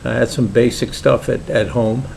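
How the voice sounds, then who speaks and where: Older Brooklyn-accented man, gravelly, relaxed halting home-vlog delivery, slight background rumble. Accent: New York (Brooklyn). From Brooklyn, New York. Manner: halting, many "uh"s and restarts, easygoing. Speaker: pipe maker vlogger. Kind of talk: casual hobby vlog.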